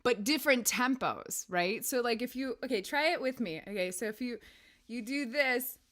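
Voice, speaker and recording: A woman singer hosting a casual livestream chat, her voice raspy, talking in a rambling, laughing way from a room with a piano. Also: fairly high pitch, normal speed, fairly bright tone. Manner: chatty, rambling, laughs often. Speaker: singer and weekly show host.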